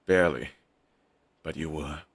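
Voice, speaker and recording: A man's voice: rumbling low, a little slow, slightly rough, heavily acted. A young man playing a bitter, fiercely devoted male villain in an audio roleplay, talking in an intense, raw way and swearing often. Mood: grieving.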